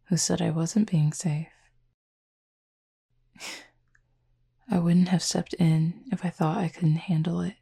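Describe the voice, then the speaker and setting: A woman playing a gentle, composed horned cupid roommate in an intimate roleplay audio, soft-spoken, measured and formally worded, tenderly teasing and reassuring. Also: fairly low pitch, flat delivery, heavily acted. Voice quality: soft, breathy, husky.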